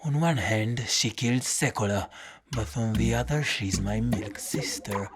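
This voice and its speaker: An actor playing a battered, deadpan, hard-drinking folk-hero detective who narrates his own audio drama. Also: on the low side, a little slow, heavy background noise. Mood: deadpan musing. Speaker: lead character and narrator. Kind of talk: first-person audio drama narration and dialogue. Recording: music under the voice.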